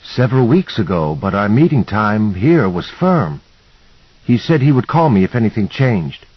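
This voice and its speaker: A man's voice: lowish voice, big pitch swings, normal speed, breathy edge, dry recording, dull audio. A man voicing a shy, courteous male professor with a Scandinavian accent in an audiobook, polite, formal and hesitant. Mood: earnest.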